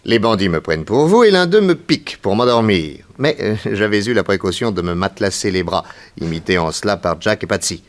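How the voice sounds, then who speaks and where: A man playing a confident private detective from a 1960s French radio serial. His low voice swings expressively as he takes charge and explains things with theatrical authority. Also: expressive, brisk, natural voice. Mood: assured, explanatory. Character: confident, commanding male private detective hero.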